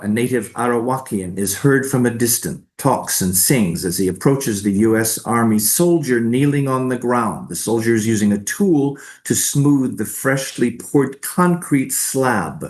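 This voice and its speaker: A man reads out stage directions for a staged play. His voice sits at a middle pitch, in scripted narration. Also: animated, a little slow.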